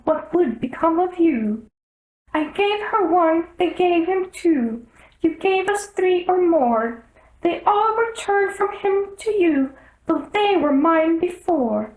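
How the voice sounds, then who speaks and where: A storybook rabbit courtier played by a woman, pitched very high above the reader's own voice. He is nervous and deferential, speaking in a careful, fussy sing-song. The sound has a roomy echo. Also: darkish tone, full character voice.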